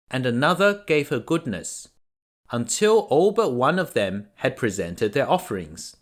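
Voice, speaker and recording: Slow, expressive male storyteller. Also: mid-range voice. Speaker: storyteller, dad reading to children. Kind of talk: scripted bedtime story narration.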